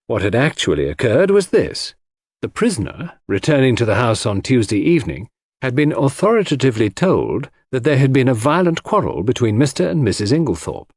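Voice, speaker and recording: Animated male audiobook narrator, slightly husky. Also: mid-range voice, dry recording, studio quality. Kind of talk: scripted narration of classic crime fiction.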